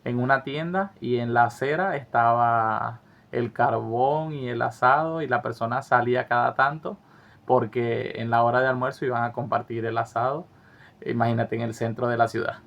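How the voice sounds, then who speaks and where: Creaky, flat-voiced man. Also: medium pitch, normal speed, muffled recording. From Venezuela. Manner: earnest, reflective, some hesitant restarts. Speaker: co-host of travel channel. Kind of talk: casual conversational commentary.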